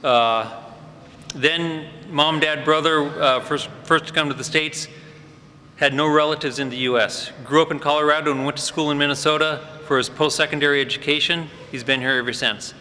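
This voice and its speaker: A man's voice: a little high, steady tone, normal speed. Man speaking up in an echoey hall. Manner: warm off-the-cuff remarks with frequent fillers. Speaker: fire department EMS supervisor presenting cadets. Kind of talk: ceremony speech introducing graduates. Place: large indoor hall at a ceremony. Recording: echoey hall with faint ambient noise.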